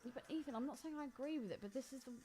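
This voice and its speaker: A woman's voice: high voice, racing, a crowd in the background. A woman co-hosting a podcast in casual, personal conversation. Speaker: podcast co-host.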